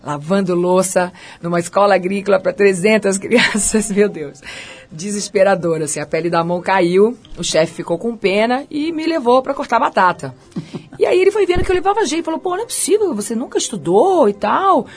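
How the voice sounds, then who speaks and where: Lively Carioca woman telling her story. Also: fairly quick, husky. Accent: Carioca Brazilian Portuguese. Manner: lively storyteller, pitch swinging high and low. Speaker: chef and restaurant owner, guest. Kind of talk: radio interview, telling her life story.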